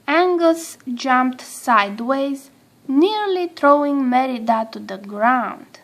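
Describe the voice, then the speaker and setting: A woman with a high voice reads a children's storybook aloud. She takes her time over each phrase and tells it expressively, at a very slow pace. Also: expressive. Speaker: storybook reader.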